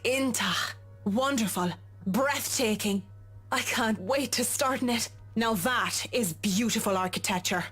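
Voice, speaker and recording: A woman's voice: on the high side, measured pace, a bit bright, husky, soft background music. An actress playing a witty, self-assured, no-nonsense woman designer in a visual novel game. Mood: wry, enthusiastic.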